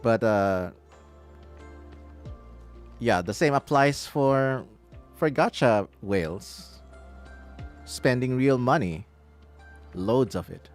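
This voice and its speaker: A man's voice: mid-range voice, animated, drawn-out words, long pauses. A man streaming a game live, casually explaining things and chatting with his viewers. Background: game music.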